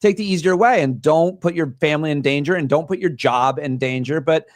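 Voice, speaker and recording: A man's voice: a little high, no echo, studio quality. A man in his forties from Central New York, a comedian guest talking passionately and bluntly in an interview. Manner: passionate, outspoken, rapid bursts. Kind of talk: interview conversation.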